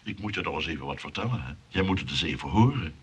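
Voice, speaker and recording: Husky, stammering, theatrical lovelorn man. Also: sits low, lively intonation, full character voice. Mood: mocking, spiteful. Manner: stammering, repeating himself, dramatic pauses. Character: lonely, pompous, pleading, spiteful male suitor. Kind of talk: comic radio play acting.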